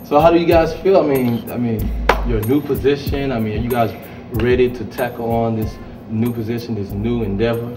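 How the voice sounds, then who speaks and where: A man's voice: medium pitch, brisk, hardly any pauses, distant mic. A young man hosting a campus TV interview, asking long, rambling questions full of "I mean" fillers. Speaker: host. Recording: echoey room, voice off-mic, music behind.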